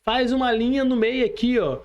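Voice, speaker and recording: A man's voice: very high-pitched, normal speed, lo-fi sound. A Brazilian man talking motivationally on a podcast in an earnest, colloquial, exhorting way, often checking that the listener follows.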